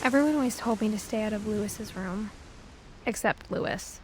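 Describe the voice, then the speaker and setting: A young woman playing a reflective teenage girl who recalls her family in measured, understated game narration. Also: mid-range voice, a little hiss or hum, lightly acted. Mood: quiet, wistful. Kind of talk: scripted first-person game narration. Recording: music behind the voice. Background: music.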